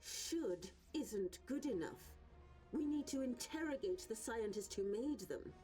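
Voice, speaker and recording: A woman's voice: on the high side, animated, unhurried, dark tone, faint music behind. A woman playing an imperious, scornful villain genius in a video game, haughty and theatrical, drawing out words for emphasis. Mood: impatient, scornful. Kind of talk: theatrical cutscene acting.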